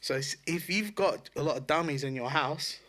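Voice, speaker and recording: A man's voice: on the high side, normal speed, pushed and bright. A man co-hosting a podcast, chatting casually about video games in a blunt, joking, informal way. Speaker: podcast co-host.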